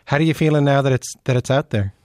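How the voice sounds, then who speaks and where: A man hosting an interview show in a conversational style with informal asides, his voice slightly croaky as if he is losing it. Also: medium pitch, fast talker, a little mellow, no room sound, studio quality. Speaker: podcast and radio show host. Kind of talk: conversational scripted introduction and interviewing.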